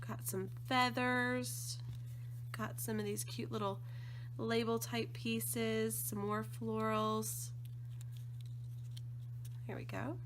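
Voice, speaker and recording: A woman with a slightly croaky voice from allergies, chatting casually and gushing with enthusiasm as she unboxes craft supplies. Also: on the high side, little pitch movement, slow, long pauses, background noise. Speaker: scrapbooking design team member.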